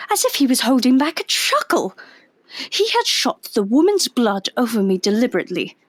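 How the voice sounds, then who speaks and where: A woman's voice: sits high, no room sound, full character voice. An actress playing a wry young undercover policewoman, confiding in the listener in an audio drama. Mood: indignant, wry. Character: young woman undercover police agent, wry, posing as nurse. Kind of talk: first-person audio drama narration and dialogue.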